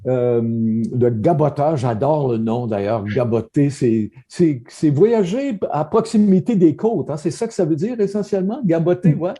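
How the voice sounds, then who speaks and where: A man's voice: a little high, animated, warm tone, husky. A man from Northern Ontario with a Franco-Ontarian French accent, hosting a casual conversation and often restarting his words as he thinks. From Northern Ontario, Canada. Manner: halting, repeats words, reflective. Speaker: podcast host.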